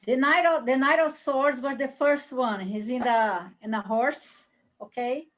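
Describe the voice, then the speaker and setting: A woman with a fairly high voice gives an improvised tarot reading. She speaks haltingly, circling back over phrases as she works through it. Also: fairly even intonation. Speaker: tarot reader. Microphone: video call.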